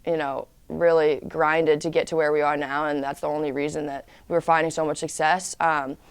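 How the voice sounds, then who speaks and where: A woman's voice: sits low, flat delivery, brisk, clear, resonant tone, no echo. A woman college lacrosse player answering interview questions in an earnest, casual way.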